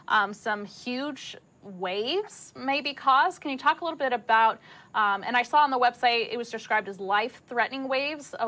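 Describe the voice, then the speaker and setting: A woman's voice: fairly high pitch, sharp tone. A woman hosting a news segment, asking a guest questions in a casual, friendly way with some fillers. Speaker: news anchor. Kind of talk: interviewing a guest.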